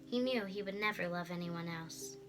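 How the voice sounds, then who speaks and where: A young girl giving an expressive scripted reading of a story as narrator. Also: lowish voice, normal speed, music in the background, rough recording. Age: child.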